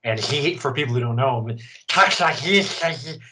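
A male host who talks in a thoughtful, measured way, sometimes pausing on fillers before a question. His voice sits in a middling range. He sounds conversational rather than scripted. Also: mid-range voice, animated, bright, forceful tone. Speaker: podcast host. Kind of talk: conversational interview.

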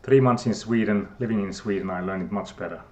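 A man's voice: on the low side, fast talker. Hesitant Finnish-accented man. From Finland. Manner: hesitant, many fillers and restarts. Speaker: guest scientist. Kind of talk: casual interview talk.

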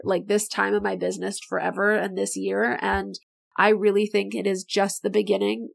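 A middle-aged woman hosting her own podcast, chatting in an enthusiastic, motivational way, her voice a bit raspy and tired. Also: mid-range voice. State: voice getting raspy, not feeling great. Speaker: podcast host and business owner. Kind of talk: casual solo podcast talk.

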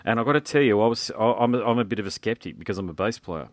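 A man's voice: fairly low pitch, very fast, no echo, clean studio sound. A young Australian man hosting a podcast interview, chatty and enthusiastic, calling his guest "mate". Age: young adult. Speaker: podcast host. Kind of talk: casual interview.

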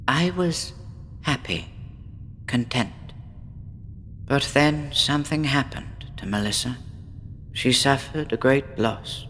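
A man playing a despondent, withdrawn woman patient in a horror audio drama, speaking in a brooding, deliberate, theatrical way. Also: mid-range voice, sharp tone, a little hiss or hum. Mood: bleak, brooding. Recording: long reverb with faint background ambience.

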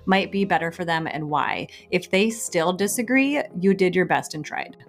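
A woman co-hosting a podcast speaks in a calm, soothing voice that sits fairly low. She delivers quick business tips plainly and matter-of-factly. Faint music plays underneath. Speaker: podcast co-host. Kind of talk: rapid-fire podcast tips.

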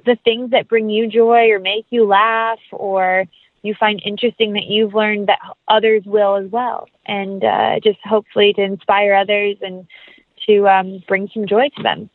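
A woman's voice: mid-range voice, flat delivery, unhurried, no room sound. Phone-line woman, enthusiastic interview guest. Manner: enthusiastic, effusive. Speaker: interview guest, actress and writer. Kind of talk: casual interview conversation. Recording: thin phone-like sound.

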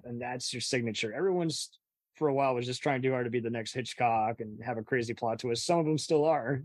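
A woman's voice: very deep voice, a little mellow, no room sound. A woman hosting an interview in a casual, opinionated style, talking about films in a wry, emphatic way. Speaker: interviewer, host. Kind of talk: casual opinionated film chat.

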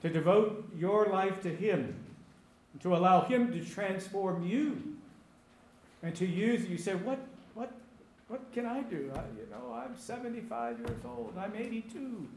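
High-voiced man preaching in an echoey room. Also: animated, measured pace, darkish tone. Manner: emphatic preaching with rhetorical questions and repetition. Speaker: pastor. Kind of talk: sermon. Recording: echoey room with faint ambient noise.

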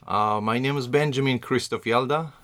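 A man's voice: mid-range voice, normal speed, a bit bright. A man answers interview questions conversationally, repeating words as he searches for phrasing. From Iraq, born in Iran. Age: 30s. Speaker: podcast guest.

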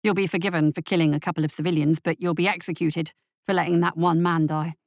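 A pragmatic male deputy voiced by a woman reader in a fantasy audiobook. He speaks in a lowered, dry voice, grim and deferential, reporting in a matter-of-fact way. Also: steady tone, quick pace, no room sound, lightly acted. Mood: coldly pragmatic. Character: dutiful, pragmatic male police deputy reporting to his captain.

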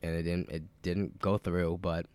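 A man with a very low voice who sounds nasal and stuffed up from a cold. He hosts a podcast in casual chat, rambling loosely, swearing freely and filling pauses with lots of "um" and "like.". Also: little pitch movement, darkish tone, clear, resonant tone. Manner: loose, rambling and profane, with many fillers. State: sick with a cold. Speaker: podcast host.

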